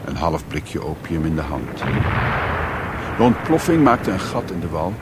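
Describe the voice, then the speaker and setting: A man's voice: very deep voice, very animated, unhurried, very noisy, full character voice. An actor playing a sombre man who recounts his grim adventures in literary radio drama narration. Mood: grave.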